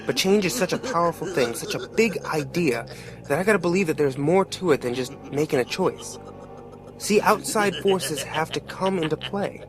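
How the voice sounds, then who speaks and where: A man's voice: fairly high pitch, lively intonation, moderate pace, over music, lightly acted. A man playing a plain-spoken working-class father who narrates a dramatic tale in an earnest, colloquial way.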